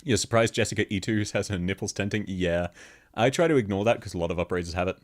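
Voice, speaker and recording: A man with a very deep bass voice, chatting casually with viewers on a live stream and laughing often. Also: quick pace. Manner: laughs constantly, chatty, answers chat questions. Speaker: VTuber streamer. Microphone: streaming microphone, level shifts as he moves.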